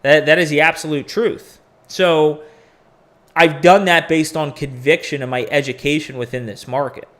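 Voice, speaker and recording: A man's voice: on the high side, fairly even intonation, normal speed, fairly bright tone. A man in his forties hosting a crypto live stream, talking casually and candidly, rambling with profane asides. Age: 40s. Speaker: crypto podcast host.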